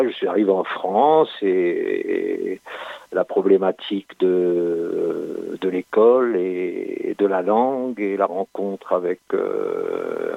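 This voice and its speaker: A sculptor in his sixties from Italy recounts his life story in a halting way, with many restarts and hesitations. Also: sits low, vocal fry, slightly rough, no echo. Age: 60s. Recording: dry phone-like audio.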